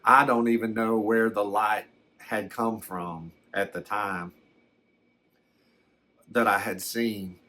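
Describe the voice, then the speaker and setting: A man voicing a folksy storyteller who recounts a frightening encounter in a halting, rambling ASMR style, often stammering and backtracking. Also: on the low side, steady tone, bright, forceful tone. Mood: awed, scared. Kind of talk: first-person ASMR storytelling.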